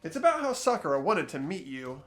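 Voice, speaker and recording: A young man hosting a game playthrough, switching between expressive scripted narration and casual commentary. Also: a little high, a little fast. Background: soft game music.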